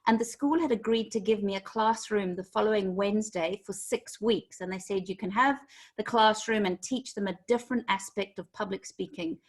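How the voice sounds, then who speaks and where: A woman in her forties from Zimbabwe, a corporate trainer telling her story in an interview with lively acted-out quotes. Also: medium pitch, normal speed.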